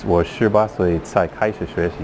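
Casting note: deep-voiced man from Ohio, native English speaker, playful Mandarin learner, noisy lobby body-cam sound. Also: fairly even intonation, moderate pace. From Ohio, USA. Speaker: vlogger chatting with hotel staff. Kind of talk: casual chat, switching between English and Mandarin. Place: large indoor hotel lobby at the front desk. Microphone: wearable action camera. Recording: noisy hotel lobby, body-worn camera.

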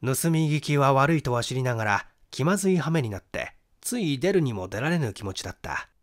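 A man narrates a mystery novel as an audiobook. His voice sits at a middle pitch and moves expressively, with a dramatic storyteller's delivery. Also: sing-song, fairly quick. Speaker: audiobook reader, stage actor.

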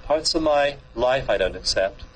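Calm man leading a tapping session. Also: medium pitch, moderate pace, slight background noise, poor audio. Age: middle-aged. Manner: calm, leads short phrases for repetition, with gentle humor. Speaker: tapping practitioner. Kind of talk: guided tapping demonstration. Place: small room with green walls, seated.

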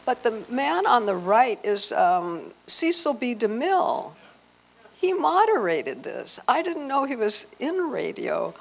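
Female presenter, middle-aged, gravelly edge, hesitant informal introductions over a handheld mic. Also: a little high, big pitch swings. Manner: halting, searching for words. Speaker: event presenter. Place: hotel conference room with audience. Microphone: handheld microphone.

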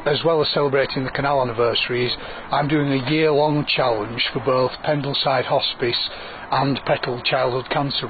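A man, an event organiser talking informally in an outdoor interview. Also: medium pitch. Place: outdoors under canopy by running track. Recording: thin phone-like sound, crowd chatter behind.

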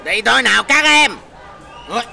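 A man's voice: extremely high pitch, lively intonation, normal speed, creaky, over a noisy crowd, exaggerated voice. A man voicing a playful big-brother pencil in a cartoon, talking eagerly. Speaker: cartoon character. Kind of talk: cartoon voice acting.

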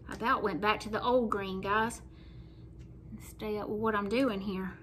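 A woman's voice: medium pitch, steady tone, normal speed, a bit bright, slight background noise. A middle-aged woman with a Southern US accent, chatting folksily through a painting tutorial at her kitchen table. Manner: chatty, folksy, talks while working. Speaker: craft painting host. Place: home kitchen table, indoors.

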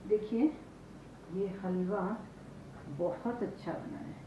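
A woman's voice: medium pitch, takes it slowly. An older woman chef with an Indian accent, explaining a dish step by step to the camera. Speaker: chef, cooking show host. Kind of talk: cooking demonstration to camera. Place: home kitchen, indoors. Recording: echoey, muffled kitchen recording with noise.

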